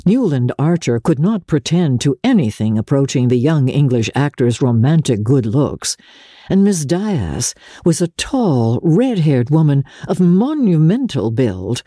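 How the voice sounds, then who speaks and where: Husky, mellow woman reading classic fiction expressively. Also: deep voice, no echo, studio quality. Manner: measured, expressive storyteller phrasing. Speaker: audiobook reader, show host.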